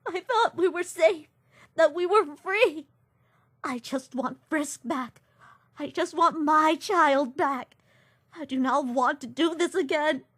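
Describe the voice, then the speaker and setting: A woman's voice: high voice, takes it slowly, fairly bright tone, no room sound, studio quality. A woman voicing a grieving, motherly goat-like former queen who speaks formally, in a comic dub. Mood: sobbing. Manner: formal, no contractions, tearful. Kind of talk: fan comic dub acting.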